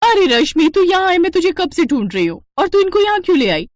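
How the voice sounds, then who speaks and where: A woman's voice: very high voice, very animated, fairly quick, slight background noise, voice pushed up. A woman voicing a snobbish, nosy rich friend in a moral cartoon story, with a haughty, exclamatory manner.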